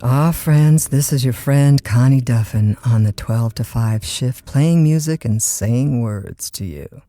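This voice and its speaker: An actress playing a chatty, easygoing overnight radio disc jockey in an audio drama, with a folksy, informal manner. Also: female voice, a bass voice, slow pace, warm tone, no room sound. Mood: friendly on-air. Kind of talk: naturalistic audio drama acting.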